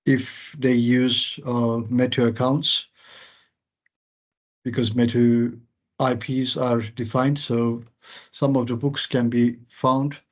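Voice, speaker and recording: A man moderating questions after an academic seminar on a video call, polite and deliberate. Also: medium pitch, flat delivery. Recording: muffled video call audio.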